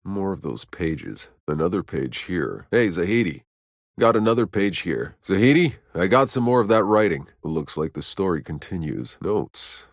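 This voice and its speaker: A battle-hardened male survivor from a science fiction game. His voice sits low, and he delivers his lines tersely, with dry sarcasm and a weary edge. The sound is tight and free of room reflections. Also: lively intonation, normal speed, vocal fry, no echo, heavily acted. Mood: intent, curious. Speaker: video game protagonist.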